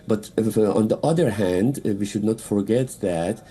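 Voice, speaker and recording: A man from Iran speaking English with a Persian accent, a political analyst giving a halting live news interview full of fillers and restarts. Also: lowish voice, warm tone, slight background noise.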